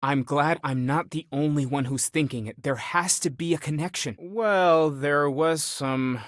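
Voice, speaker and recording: Young male space explorer played by a man, game character: sincere, softly questioning seeker, dry studio-close sound. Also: fairly high pitch, a little slow, dry recording, natural voice. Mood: curious, hopeful. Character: earnest, curious, spiritually searching young male space explorer. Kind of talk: video game voice acting.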